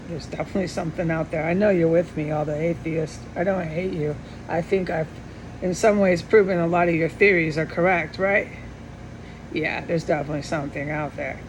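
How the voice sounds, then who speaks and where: A middle-aged woman giving a rambling, earnest personal monologue with insistent, repeated emphasis and a gravelly voice. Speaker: vlogger. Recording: steady background hum and rumble.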